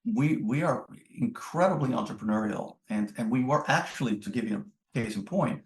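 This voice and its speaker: A middle-aged man from the Netherlands, a native Dutch speaker, answers interview questions in a reflective, measured conversational way. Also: mid-range voice, expressive. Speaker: podcast guest, business executive. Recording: roomy, echoing sound.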